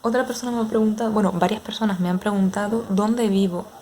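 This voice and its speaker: A young woman in her twenties with an Andalusian accent, answering viewers' questions in a relaxed, hesitant way with many fillers. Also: medium pitch. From Andalusia, Spain. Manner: unhurried, hesitant with frequent fillers. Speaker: ASMR creator. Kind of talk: casual Q&A chat with viewers.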